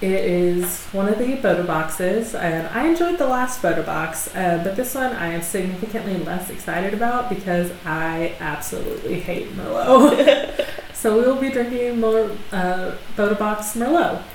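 A woman co-hosting a podcast, chatting and laughing often, with a slightly gravelly voice from allergies. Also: medium pitch, lively intonation, normal speed. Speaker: podcast co-host. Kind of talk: casual chat. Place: dining room in a home. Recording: echoey room with hiss.

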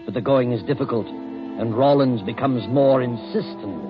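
A man's voice: mid-range voice, moderate pace, natural voice. A man narrating an old-time radio crime drama in a scripted storytelling style. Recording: muffled old radio recording, loud music.

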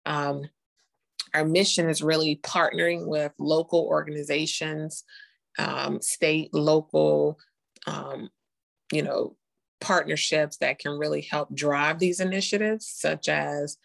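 Woman being interviewed, lots of vocal fry, explains her work, keeps tagging points with right?. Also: low voice. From North Carolina, born in Costa Rica. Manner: often ends points with a checking "right?". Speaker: interview guest, nurse informaticist and health tech founder.

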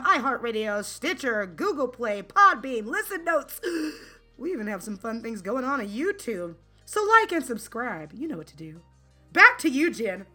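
A woman co-hosts a casual podcast with a bright, lively voice that leaps around in pitch. She is playful and bubbly, tossing in sound effects and bits of song. Faint music plays underneath. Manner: bubbly, throws in sound effects and sung snippets. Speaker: podcast co-host.

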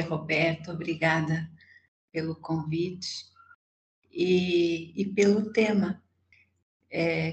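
Female psychologist in her sixties, Portuguese accent tinged with Brazilian, lowish voice, measured and reflective, echoey recording. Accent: Portuguese softened by decades in Brazil. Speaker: psychologist guest. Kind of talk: reflective conversational interview. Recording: echoey room with background noise.

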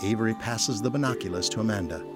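A man reading scripted narration for an audio drama in a measured, descriptive storytelling manner. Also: on the low side. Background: prominent music.

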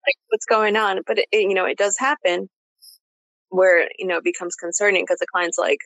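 A woman's voice: mid-range voice, fairly even intonation, fairly quick, fairly bright tone, no room sound, low-quality recording. A woman in her late twenties hosting a casual chat interview, informal and slangy as she talks. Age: late 20s. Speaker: podcast host, therapist.

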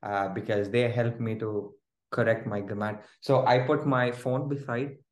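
A man recounts a personal story as an interview guest. He talks in an animated way and laughs often. His voice carries a noticeable room echo. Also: medium pitch, measured pace. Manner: animated, laughing, restarts while telling a story. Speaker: guest, groom-to-be. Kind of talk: casual storytelling. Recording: roomy echo.

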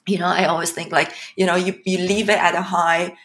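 A woman from Iran, in her thirties, talking in a casual podcast interview with many fillers and pauses. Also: lowish voice. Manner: hesitant, many fillers, animated when laughing. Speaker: podcast guest.

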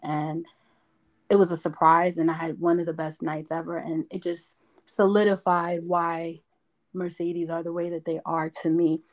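A woman from New York, a podcast guest, chatting in a raspy, strained voice that is hoarse from yelling, quick to break off and correct herself. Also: fairly low pitch, flat delivery, dry recording. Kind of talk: casual podcast interview.

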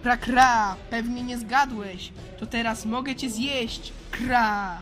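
A woman's voice: a little high, fairly even intonation, slow pace, a bit bright, faint music behind. A woman voicing a menacing male crow-man monster who caws between phrases in a children's audio play. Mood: threatening. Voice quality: squawking, cawing. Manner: punctuates speech with crow caws.

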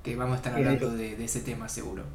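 A man's voice: medium pitch, rapid-fire. A man hosting a casual chat, talking loosely with plenty of fillers. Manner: relaxed, rambling with fillers. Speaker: podcast host. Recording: echoey room with clear noise.

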